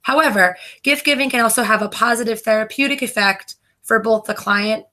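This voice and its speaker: A woman's voice: on the high side, a little fast, sharp tone. A young woman from New Jersey reading a prepared debate presentation, carefully listing items one by one. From New Jersey, USA. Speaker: debate participant.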